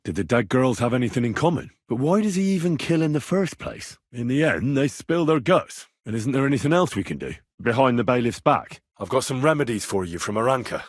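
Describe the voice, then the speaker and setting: A man acts out a stubborn young investigator in a video game. His voice is slightly rough and moves freely up and down as he probes with earnest, plain-spoken questions. The sound is dry and close, with no room around it. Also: mid-range voice, expressive, fairly quick, few pauses, no room sound, close to an everyday voice. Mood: businesslike. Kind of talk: video game character dialogue.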